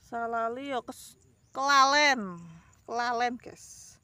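A woman's voice: a little high, fairly even intonation, takes it slowly, pushed and bright, smooth, no echo. A woman in her thirties with a Javanese ngapak accent, chatting to viewers in a casual vlog, rambling and calling them guys, filmed outdoors on a phone held at arm's length. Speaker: vlogger. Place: outdoors by rice fields.

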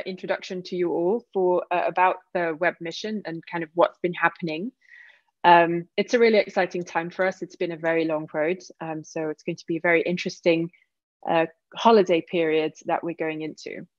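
A woman in her thirties giving a relaxed seminar talk over a video call, with many fillers. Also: lowish voice, fairly quick, dry recording, dull audio. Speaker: guest speaker.

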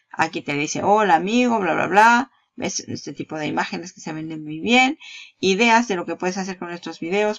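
A woman's voice: lowish voice, muffled recording. A woman giving a casual, chatty walkthrough of a product page, talking straight to the viewer and laughing at herself. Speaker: marketing YouTuber.

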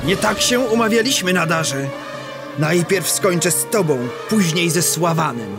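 Domineering warlord villain, male actor, radio drama, drawn-out lines, clear music bed. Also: high-pitched, animated. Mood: threatening. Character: domineering, threatening warlord of legend. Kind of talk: comic-book radio play acting.

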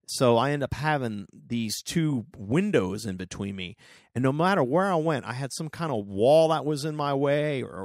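Middle-aged guy rambling on his podcast, lowish voice a bit hoarse, stammers a lot, dry home recording. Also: no echo. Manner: rambling, frequent restarts and stammered repeats. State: slightly hoarse. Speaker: podcast host. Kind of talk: casual solo podcast monologue.

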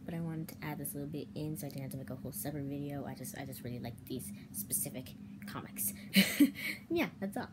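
A young woman with a fairly low voice talks casually to her viewers. She sounds close to a device in a bedroom, with clear noise in the recording. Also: expressive, fast talker. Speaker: comic dub creator. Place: small bedroom. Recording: noisy bedroom webcam audio.

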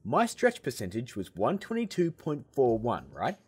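A man hosting a software tutorial, explaining steps in a casual, upbeat way. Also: mid-range voice, wildly swooping pitch, moderate pace, breathy edge, soft background music. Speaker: video tutorial host.

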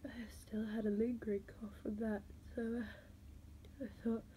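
A woman with a stuffy cold, talking slowly and half-whispering in a casual vlog, with a halting, sighing manner. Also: a little high, little pitch movement, warm tone, breathy edge. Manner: slow, halting, with sighs and fillers. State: congested with a cold, stuffy nose. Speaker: vlogger. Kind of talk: casual to-camera chat. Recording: noisy background hiss.

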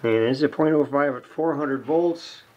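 A man giving unscripted, casual narration of hands-on repair work, halting and muttering to himself as he goes. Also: on the high side, a little mellow, highs cut off. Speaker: hobbyist radio repairer, video host. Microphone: camera mic near the workbench.

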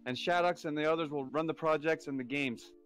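A man's voice: fairly high pitch, brisk, fairly bright tone, faint music behind, lightly acted. A man playing an easygoing, confident creator of a digital world in an amateur sci-fi audio drama. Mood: reassuring.

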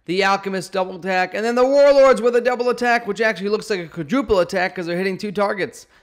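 A man's voice: high-pitched, fast talker. A man gives casual strategy commentary on a game, emphatic and often checking in with the listener. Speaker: gaming video host. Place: indoor room at home.

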